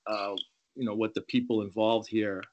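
A man discussing his writing casually on a video call, halting and often repeating words as he restarts. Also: on the low side, level tone, highs cut off, background noise. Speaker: screenwriter discussing his script.

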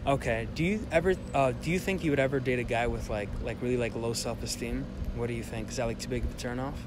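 A man's voice: medium pitch, fast talker. A young man in his twenties interviewing passers-by outdoors, rambling with many fillers and restarts. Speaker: street interviewer. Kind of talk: casual vox pop interview. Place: outdoors on a campus street. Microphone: handheld mic. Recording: outdoor noise behind.

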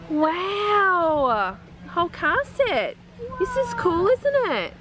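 Chatty, excitable Australian woman vlogging. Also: very high voice, background noise. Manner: chatty, excitable, quick to laugh. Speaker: family vlogger. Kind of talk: casual vlog narration while shopping. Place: large warehouse store indoors. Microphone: handheld camera.

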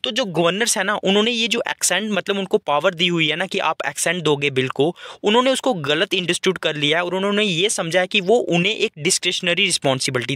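A man with a bright, clear and slightly high voice teaches from a newspaper editorial. He reads each English line slowly and carefully, then explains it in Hindi. The sound is dry and close, with no room around it. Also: no room sound.

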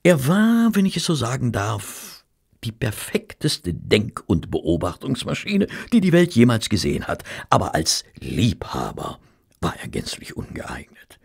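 An actor playing a courteous, reverent gentleman who narrates a detective friend's cases in an audio drama, formal and measured, with dramatic pauses and a gravelly voice. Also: on the low side, wildly swooping pitch, heavily acted. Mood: earnest, confiding.

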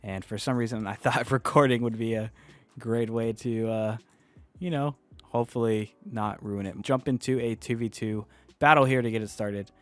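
A young man with a hoarse, fading voice from a cold, casually commenting on his own online case-opening battles, chatty and excitable, then dropping to a mutter. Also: medium pitch, normal speed. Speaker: online gambling video creator. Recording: faint music behind.

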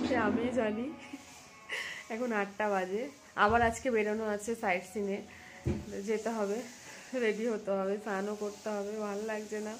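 A woman in her thirties vlogging a family trip, talking casually to her phone camera held at arm's length. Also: medium pitch. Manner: chatty, tired and complaining in the morning. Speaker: travel vlogger. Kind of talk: casual travel vlog narration. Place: hotel room, then outdoors on a trip. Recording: background music under voice. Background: music.

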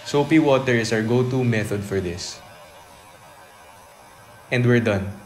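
A man's voice: medium pitch, measured pace, a little hiss or hum. A man giving a scripted, step-by-step voice-over for a repair tutorial, explaining each stage evenly.

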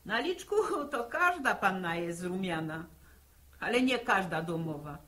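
A woman's voice: lowish voice, big pitch swings, unhurried, fairly bright tone. An actress playing a blunt, practical village mother, grumbling in earthy, folksy talk in a 1960s radio drama. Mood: scolding. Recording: 1960 archive radio drama recording.

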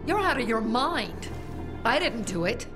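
A woman's voice: fairly high pitch, very animated, measured pace. An actress playing a tough young female faction leader in a video game, firm, urgent, defiant and protective. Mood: indignant. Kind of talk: dramatic video game acting. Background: game music.

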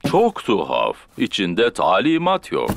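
A man's voice: on the low side, wildly swooping pitch, unhurried, creaky, very noisy, heavily acted. A man voicing a big, jolly, slightly bumbling daddy pig in a preschool cartoon. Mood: puzzled, genial. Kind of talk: Turkish-dubbed preschool cartoon acting.

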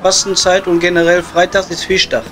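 A man's voice: sits high, completely flat delivery, brisk, over music, natural voice. A man playing a blunt, chatty, sweary coal miner with a Ruhr accent in improvised pub comedy. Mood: chatty, joking. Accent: Ruhr area German. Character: blunt, joking working-class coal miner at his pub. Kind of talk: improvised comedy audio play, pub chat.

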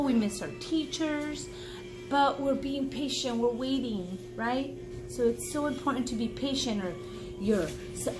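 High-pitched woman reading aloud to children. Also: poor audio. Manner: encouraging, asks the listener questions. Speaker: reading teacher. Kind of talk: children's read-aloud with explanations. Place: small indoor room at home.

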